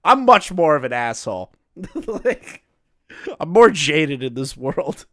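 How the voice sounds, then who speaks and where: A man hosting a reaction commentary, blunt and profane in how he mocks what he watches. His voice has a bright, sharp edge. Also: fairly high pitch, sing-song, takes it slowly. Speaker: commentary channel host.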